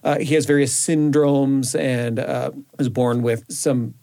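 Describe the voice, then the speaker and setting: A man's voice: medium pitch, steady tone, slow, mellow. A man, a radio producer, chatting casually on air.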